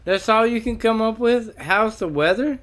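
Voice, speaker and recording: A man's voice: sits high, moderate pace, a bit bright. A man giving opinionated video commentary, emphatic and repeating phrases for effect. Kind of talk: opinionated commentary on an audio recording.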